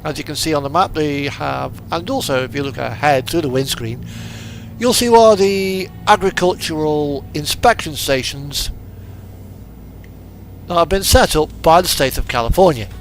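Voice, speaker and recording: A man's voice: on the high side, moderate pace, vocal fry, a little hiss or hum, low-quality recording. A man giving casual, rambling commentary over a driving game, musing and thinking aloud as he goes. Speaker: video game streamer narrating a truck simulator drive. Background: music and vehicle engine sound.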